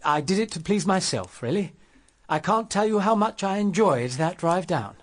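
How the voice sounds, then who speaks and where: An actor playing a polite, smitten gentleman suitor in a period radio drama, earnest and slightly halting. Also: high voice, expressive. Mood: earnest, flirtatious.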